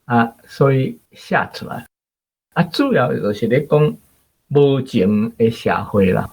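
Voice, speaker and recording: A man's voice: mid-range voice, expressive, takes it slowly, darkish tone, husky. A man with a Taiwanese accent giving informal spoken commentary as a radio guest.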